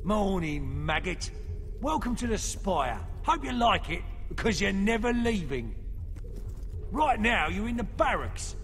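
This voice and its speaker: An actor playing a bullying guard in a video game, giving orders in a curt, contemptuous, bossy manner. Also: male voice, sits high, very animated, unhurried, fairly bright tone, a little hiss or hum, full character voice. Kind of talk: video game character acting. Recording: hall reverb, faint music behind.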